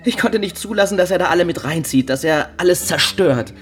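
An actor playing an evasive, anguished young footballer and father in a TV crime drama, speaking haltingly. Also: high-pitched, soft background music, lightly acted. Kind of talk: naturalistic German TV drama dialogue.

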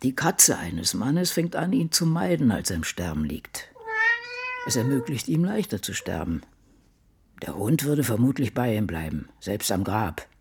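An actress playing an ailing elderly American novelist in a radio drama, brooding and blunt, speaking in a reflective, deliberate way. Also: female voice, rumbling low, big pitch swings, darkish tone, a little hiss or hum, heavily acted. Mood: musing. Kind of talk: radio drama acting, intimate monologue.

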